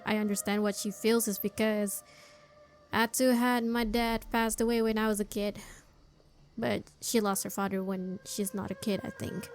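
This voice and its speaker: A woman's voice: mid-range voice, a little hiss or hum. A woman gamer giving casual, sympathetic playthrough commentary, talking to the characters on screen. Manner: talks to the game characters, sympathetic. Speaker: gaming streamer.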